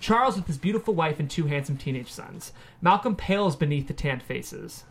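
A man's voice: fairly high pitch, lively intonation, a little fast, vocal fry. A man reading the stage directions as narrator of a screenplay table read.

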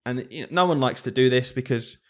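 A man's voice: medium pitch, fast. A man, a primary school teacher co-hosting a casual podcast, talking earnestly and thinking aloud.

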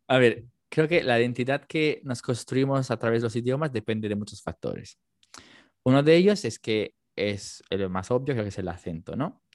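A man's voice: medium pitch, a little mellow, no echo, studio quality. Italian man chatting reflectively. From Italy, Naples. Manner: reflective, thinks aloud with many fillers. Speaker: language teacher and interpreter. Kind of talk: casual conversation.

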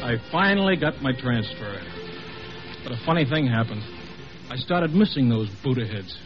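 A man's voice: mid-range voice, animated, normal speed, natural voice. A man acting a plain-spoken army lieutenant from Texas, narrating his war story in a 1950s radio drama. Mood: reflective. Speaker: narrator and lead actor. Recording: dull old radio recording with music.

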